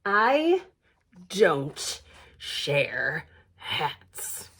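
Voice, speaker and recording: A woman voicing a possessive, hat-loving female bear in a picture book, with emphatic, drawn-out refusals. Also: deep voice, huge pitch swings, fairly bright tone, breathy edge, pitched below the performer's own voice, heavily acted. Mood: grumpy, growling.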